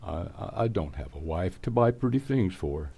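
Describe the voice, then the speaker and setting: A man's voice: deep voice, expressive, normal speed, vocal fry, mellow, breathy edge, full character voice. A man playing a shy, flustered, lonely bachelor salesman who stammers bashfully in old-time radio comedy. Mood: modest, bashful.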